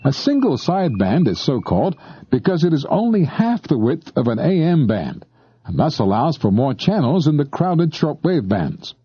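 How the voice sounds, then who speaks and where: Dull-sounding tape, man narrating, lively pitch. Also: moderate pace, husky. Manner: explanatory, addressing the listener directly. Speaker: narrator of an instructional shortwave radio tape. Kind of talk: scripted instructional narration. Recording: dull lo-fi cassette tape, dry.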